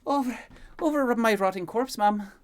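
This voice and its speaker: A man's voice: high voice, moderate pace, pitched above the performer's own voice, lightly acted. A man voicing a bustling, bossy older woman servant, scolding and exclaiming in a story. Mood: winded grumbling.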